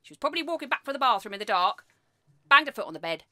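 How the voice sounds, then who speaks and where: An actress playing an exasperated, sardonic wife in a comic radio play, with a British accent and a scolding manner. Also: female voice, a little high, very animated, racing, bright, forceful tone, dry recording, full character voice. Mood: sardonic, weary. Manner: dry, scolding, sarcastic. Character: exasperated sardonic wife, secretly fond of lurid drama.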